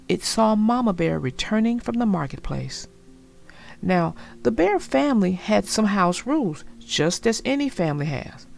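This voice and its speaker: A woman narrating a children's storybook aloud in a deliberate, expressive way. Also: medium pitch, vocal fry.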